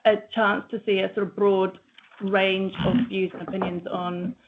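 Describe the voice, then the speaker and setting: A woman's voice: medium pitch, flat delivery, moderate pace, background noise. Middle-aged woman, New Zealand accent, chatty speaker, thin phone-line sound. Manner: chatty, with fillers. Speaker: agency managing director. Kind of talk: presentation. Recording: tinny phone-line audio.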